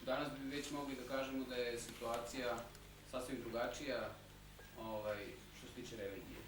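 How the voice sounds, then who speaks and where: A man's voice: mid-range voice, fairly even intonation, moderate pace. Man, consecutive interpreter, loose paraphrase, very echoey hall, hissy. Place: conference hall. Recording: very echoey hall, distant mic, audible hiss.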